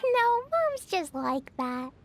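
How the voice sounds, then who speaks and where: A woman voicing a sweet, excitable little girl in a video game, bubbly, innocent and childlike. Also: slow pace, a little hiss or hum, exaggerated voice. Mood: matter-of-fact, cheerful. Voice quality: childlike, squeaky.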